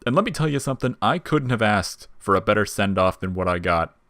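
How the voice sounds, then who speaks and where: A man voicing a wry, laid-back, quietly protective skeleton in an audio story, with casual slangy talk. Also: lowish voice, expressive, brisk, no room sound, clean studio sound. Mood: calm, sincere. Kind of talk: dramatic audio fiction character reading.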